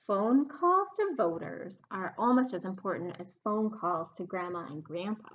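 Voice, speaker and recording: A woman hosting a children's story time, reading aloud in a sing-song, animated way and prompting young listeners with questions. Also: mid-range voice, moderate pace, dull audio.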